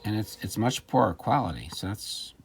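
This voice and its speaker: A man's voice: fairly low pitch, sing-song, normal speed, vocal fry, loud music behind the voice. A man talking casually through a hands-on gadget demonstration, musing and thinking aloud. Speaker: vlogger demonstrating and repairing vintage electronics.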